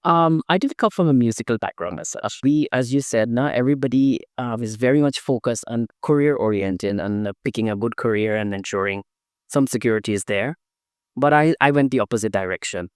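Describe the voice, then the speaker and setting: A man's voice: mid-range voice, brisk, clean tone, no echo, clean studio sound. A middle-aged man from Guyana, a dancer and interview guest, telling his story thoughtfully with frequent fillers and restarts. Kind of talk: conversational interview answers.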